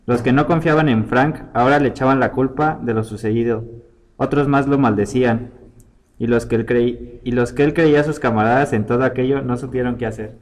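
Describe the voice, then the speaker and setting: A man's voice: medium pitch, a little fast. A man reading a scripted short story aloud as its storyteller.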